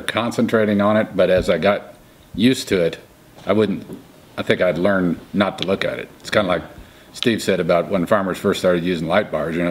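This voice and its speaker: A man in his fifties, a farmer and crop insurance agent from Missouri, thinking aloud in a casual indoor interview. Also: sits low. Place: indoor room with curtains.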